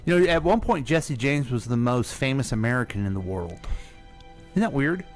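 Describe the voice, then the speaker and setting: A man's voice: medium pitch, expressive, moderate pace, faint music behind. Folksy male radio host. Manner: folksy, addresses listeners as folks. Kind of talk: casual radio chat.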